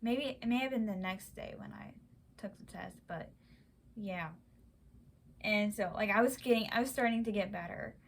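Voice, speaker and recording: A young woman vlogger telling a personal story in a halting way, with many fillers and restarts. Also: mid-range voice, slight background noise. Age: young adult. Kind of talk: casual personal account.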